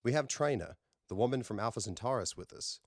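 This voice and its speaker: A man's voice: mid-range voice, expressive, fairly quick, dry recording, studio quality, pitched above the performer's own voice, close to an everyday voice. A man voicing a confident, earnest space crew leader with formal phrasing in an audiobook. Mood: assured.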